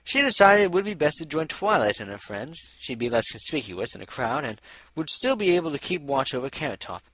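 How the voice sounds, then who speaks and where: Male audiobook narrator, expressive intonation, bright tone, dry close recording. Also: on the high side, brisk, no room sound, rough recording. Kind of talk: scripted story narration.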